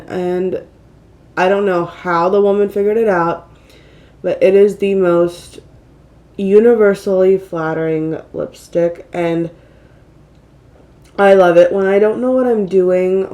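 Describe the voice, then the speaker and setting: A woman with a relaxed, mellow tone, slightly stuffed up with a cold. She rambles chattily through a casual makeup routine as a vlog host, poking fun at herself along the way. Her voice sits at an average pitch with a light nasal edge. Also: unhurried. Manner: chatty, rambling, self-deprecating, exclaiming. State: mildly congested from a cold. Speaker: beauty vlogger, channel host. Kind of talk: casual get-ready-with-me makeup chat.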